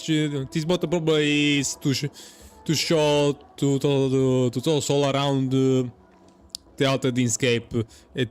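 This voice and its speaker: A man's voice: medium pitch, clean tone. A man giving casual, hesitant reaction commentary while he plays a game, often trailing off. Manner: hesitant, trailing, says "lol" aloud. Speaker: gamer commentator.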